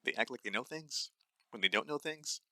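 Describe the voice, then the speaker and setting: A man's voice: mid-range voice, expressive, normal speed, sharp tone, no room sound. A young adult man hosting his own nutrition podcast, speaking blunt and angry with a dry voice, swearing often and stumbling with fillers. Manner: many fillers and restarts. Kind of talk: solo ranting monologue.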